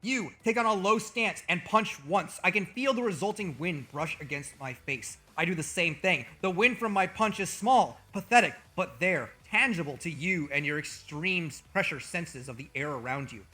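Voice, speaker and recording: Bright-voiced, trash-talking male streamer. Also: sits high, expressive, moderate pace, few pauses, slightly rough, faint music behind. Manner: hyperbolic, profane, trash-talking. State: slightly hoarse. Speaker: VTuber streamer. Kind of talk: comedic livestream banter.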